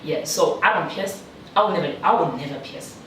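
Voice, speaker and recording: A woman from South Africa, a teacher, chatting casually in an interview with a lively manner and frequent laughs. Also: sits low, brisk. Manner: lively, laughs often, animated when joking. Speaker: guest, teacher in China. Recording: echoey room, distant mic, faint noise.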